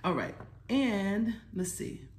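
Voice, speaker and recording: A woman's voice: medium pitch, sing-song, slow pace, a little mellow. An American woman in her forties talking casually to children as a storytime presenter, encouraging them and asking questions. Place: small living room at home, indoors. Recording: echoey room with clear background noise.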